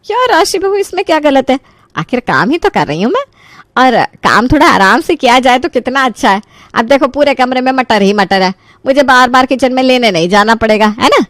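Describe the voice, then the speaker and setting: A woman voicing a sly, mock-innocent middle-aged mother-in-law in an animated family story, asking pointed questions. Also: sits high, fairly quick, dry recording, clean studio sound, pitched above the performer's own voice. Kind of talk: Hindi animated story dialogue.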